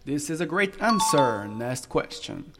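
A teenage boy hosting a school radio quiz, reading questions in brisk bursts. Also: fairly high pitch, very animated. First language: French. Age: teen. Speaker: quiz host. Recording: reverberant room with music behind.

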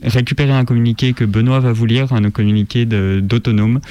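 A man's voice: mid-range voice, steady tone, brisk, warm tone. A man casually co-presenting a radio show.

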